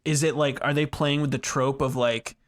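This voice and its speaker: A man's voice: medium pitch, steady tone, normal speed. A man co-hosting a podcast, chatting casually about video games in slangy language with casual swearing. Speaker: podcast co-host.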